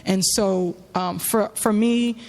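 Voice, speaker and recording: A woman giving a semi-prepared religious talk in a halting, reflective way, telling a story with frequent pauses and fillers. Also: medium pitch, fairly even intonation, gravelly, darkish tone. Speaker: speaker giving a religious address. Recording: long hall reverb.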